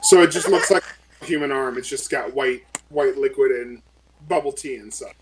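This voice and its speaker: A woman playing a tabletop game, chatting casually and role-playing, often halting and correcting herself. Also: very deep voice, slight background noise, low-quality recording.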